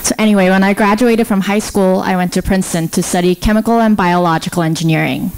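A woman in her thirties from South Korea and the Philippines, a research scientist giving a prepared talk into a handheld microphone, explaining in a measured way with rhetorical questions. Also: mid-range voice, steady tone, a little mellow, clear, resonant tone, rough recording. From born in South Korea, grew up in the Philippines. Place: indoor stage.

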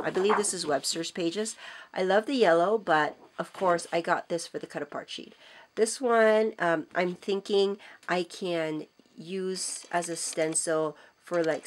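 A hoarse middle-aged woman with a cold, chatting casually and enthusiastically as she shows off craft supplies, with frequent ums and self-corrections. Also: fairly low pitch. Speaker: craft vlogger. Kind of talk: casual show-and-tell haul. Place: indoors at a craft table.

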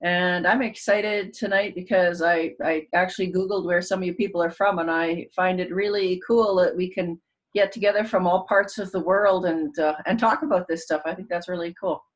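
A woman's voice: lowish voice, fairly even intonation, normal speed, rough recording. A woman from Canada introduces herself with easy enthusiasm. She talks casually into a webcam. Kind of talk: casual group video call.

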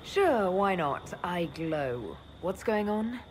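Low-voiced skeptical elf heroine. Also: female voice, very animated, a little hiss or hum. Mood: puzzled, dry. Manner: measured, dry, questioning. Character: composed, skeptical female elf mage hero. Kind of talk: video game voice acting.

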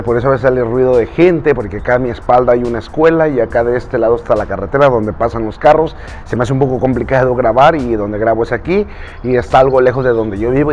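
A man's voice: mid-range voice, darkish tone, muffled recording, a little hiss or hum. A man in his thirties from Mexico with a Mexican Spanish accent, chatting to camera as a YouTuber and voice impressionist. He talks earnestly, checks his notes and gestures with his hands while he speaks. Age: 30s. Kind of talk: casual talk to camera. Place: outdoors in a recreational park, standing against a pale wall.

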